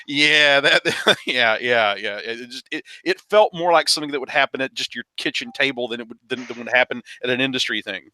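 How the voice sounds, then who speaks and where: A man talking casually as a podcast panelist, halting and repeating words as he gets going. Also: medium pitch, bright, forceful tone, no room sound. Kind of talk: casual anecdotal roundtable chat.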